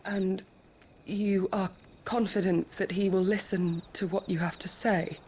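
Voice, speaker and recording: A woman's voice: medium pitch, steady tone, measured pace, darkish tone, close to an everyday voice. Game role: actress as devoted female retainer, formal and caring, mid-range voice, slight background noise. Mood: questioning. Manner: polite, formal, careful. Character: loyal, concerned female attendant to a nobleman. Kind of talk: dramatic video game cutscene acting.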